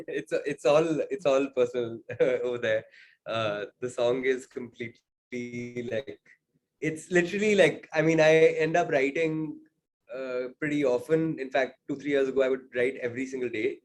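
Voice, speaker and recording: A young man, a singer-songwriter, answering interview questions in a reflective, casual way, often pausing with fillers. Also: mid-range voice. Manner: reflective, many fillers like "like" and "I mean".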